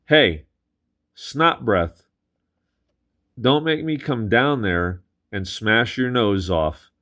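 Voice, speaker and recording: A man's voice: fairly low pitch, slow. A man doing a fearless, scrappy young girl. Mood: taunting. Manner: tough, cocky, threatening.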